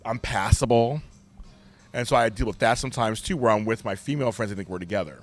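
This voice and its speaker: A middle-aged man with a deep voice, speaking candidly and emphatically as a podcast guest. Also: fast, faint music behind. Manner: animated, emphatic storyteller. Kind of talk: candid conversation.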